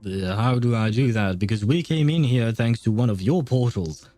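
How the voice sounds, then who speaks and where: A man's voice: medium pitch, moderate pace, no echo. A man livestreaming a video game with casual, chatty commentary, thinking aloud as he plays. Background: soft game music.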